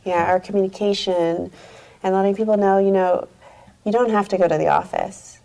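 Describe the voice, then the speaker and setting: A woman's voice: medium pitch, steady tone, normal speed, vocal fry, warm tone. A middle-aged woman speaking as a guest in a casual interview, upbeat and chatty, laughing easily. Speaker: guest, company executive.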